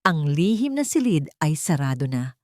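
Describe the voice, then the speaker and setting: Woman, Filipino accent, lowish pitch, scripted dramatic narration, audiobook, dry recording. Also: big pitch swings, normal speed, darkish tone, dry recording.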